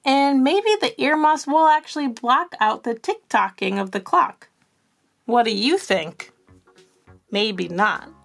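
A woman reading a children's picture book aloud in an expressive, animated storyteller's manner. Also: on the high side, takes it slowly, vocal fry, soft background music. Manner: animated, asks young listeners questions.

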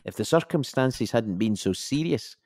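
An actor playing a dry police officer giving a measured, official but blunt account in an audio drama. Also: male voice, medium pitch, lively intonation, darkish tone, no room sound. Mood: procedural.

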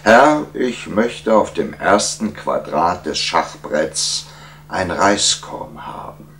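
A man plays a humble sage from a storybook legend. He speaks slowly and deliberately in a low, slightly gravelly voice, calm before his king. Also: a little hiss or hum, full character voice. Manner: measured, deliberate. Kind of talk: storybook character acting.

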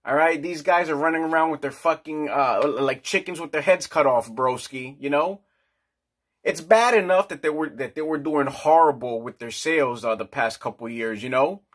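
Brash middle-aged man ranting with a husky voice. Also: on the high side, moderate pace, a bit bright. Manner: brash, crude, slangy, rambling. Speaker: vlogger. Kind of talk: casual profane rant. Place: small office storeroom, indoors.

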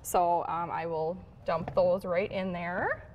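A woman's voice: fairly low pitch, fairly even intonation, slow, muffled recording. Woman demonstrating cooking, faint background noise. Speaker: home cook guest on a TV show. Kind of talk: casual cooking demonstration and chat. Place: studio kitchen set. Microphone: lapel mic.

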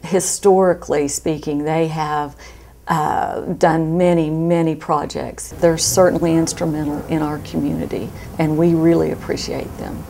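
A middle-aged woman giving measured interview remarks as a local resident, on a lapel mic in an office. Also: low-pitched, flat delivery, gravelly, noisy background. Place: indoors in a small office.

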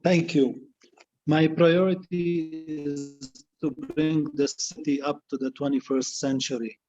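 A man answering as a candidate at a public forum, phrasing his points in deliberate, choppy pieces. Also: medium pitch, mellow. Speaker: city council candidate. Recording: dull, muffled forum audio.

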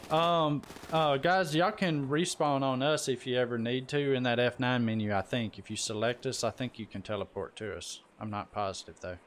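A man's voice: medium pitch, steady tone, normal speed, few pauses. A man chatting casually and saltily as he streams a video game. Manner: folksy, salty-tongued. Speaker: live-streaming gamer. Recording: faint game gunfire noise. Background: video game gunfire.

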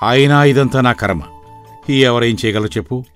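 A man playing a weary, worried but shrewd middle-aged village father in an animated moral story, speaking plainly and earnestly. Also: medium pitch, normal speed, close to an everyday voice. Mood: weary, regretful. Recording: background music throughout.

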